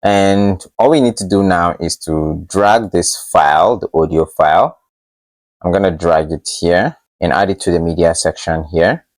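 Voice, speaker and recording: A man presenting a casual software tutorial, with somewhat halting, hesitant phrasing. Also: deep voice.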